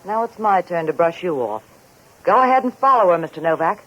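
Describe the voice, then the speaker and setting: An actress playing a seductive, scheming femme fatale in a 1940s radio noir, sultry and coolly insistent with a veiled menace. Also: female voice, on the low side, sing-song, brisk, a bit bright. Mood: veiled threat. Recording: muffled 1940s radio recording.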